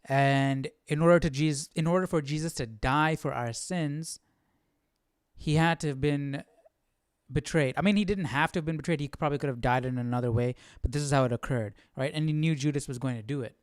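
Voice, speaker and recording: A man's voice: on the high side, fairly quick, smooth, dry recording, studio quality. A man hosting a livestream Bible study, chatting casually and thinking aloud with lots of questions. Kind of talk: casual, musing chat.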